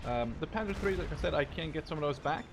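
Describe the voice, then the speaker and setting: A man's voice: a little high, animated, quick pace, noisy background. A man in his twenties from the Netherlands, a native Dutch speaker, giving casual, rambling and informal commentary over gameplay. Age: 20s. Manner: rambling, digressive, informal with mild swearing. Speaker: gaming video creator.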